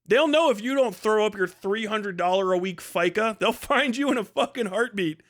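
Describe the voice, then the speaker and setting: A man's voice: high-pitched, lively intonation, moderate pace, a bit bright. An American man livestreaming an unscripted rant in a blunt, profane, exasperated and argumentative way, repeating points for emphasis. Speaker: livestream host. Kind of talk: casual unscripted livestream rant, answering chat.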